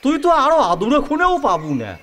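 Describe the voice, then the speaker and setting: A man playing an excitable elderly village friend in a rural audio drama, bantering with loud exclamations. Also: very high-pitched, fast, exaggerated voice.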